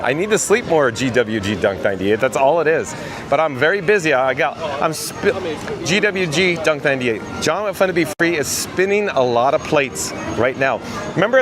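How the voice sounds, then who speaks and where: A hoarse man with a raspy voice hosting a live theme park vlog outdoors, chatting and reading viewer comments aloud. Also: medium pitch. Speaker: livestream host.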